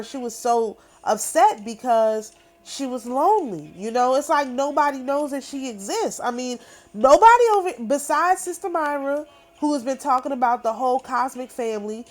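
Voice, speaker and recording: Woman, middle-aged, fairly high pitch, emphatic, boastful, repetitive, casual monologue. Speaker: spiritual channel host.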